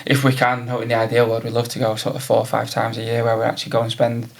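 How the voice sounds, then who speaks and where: A man's voice: medium pitch, completely flat delivery, quick pace, gravelly. A man from North Wales, a podcast guest chatting casually and laughing often. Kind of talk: casual interview chat.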